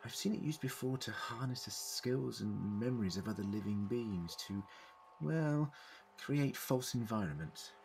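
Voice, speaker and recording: An actor playing an eccentric, clever time-travelling man in an audio drama, deliberate and formally worded as he explains. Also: mid-range voice, expressive, gravelly, a little mellow, slight background noise. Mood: measured, explaining.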